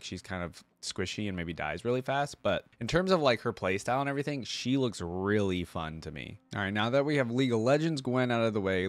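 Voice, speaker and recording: A man's voice: lowish voice, no echo. A man hosting a reaction video with casual, laid-back commentary, thinking aloud appreciatively as he goes.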